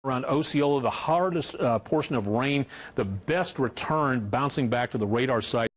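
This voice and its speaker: A man's voice: mid-range voice, moderate pace, a little hiss or hum. A man speaking off the cuff as a weather forecaster, giving live commentary.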